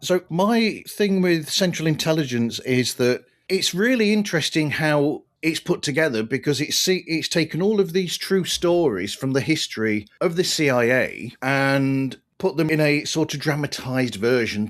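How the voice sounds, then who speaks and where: A man's voice: on the high side, animated, moderate pace, hardly any pauses, a bit bright. A man hosting a podcast, introducing and interviewing guests in a conversational way.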